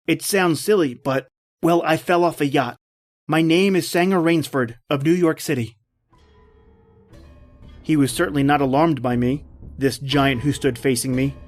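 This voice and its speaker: Radio adventure hero, male actor: polite, inquisitive castaway hunter, slightly raised pitch, dramatic first-person delivery. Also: normal speed, long pauses, faint music behind, close to an everyday voice. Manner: earnest, dramatic storytelling. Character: shipwrecked male big-game hunter, polite, observant, inquisitive.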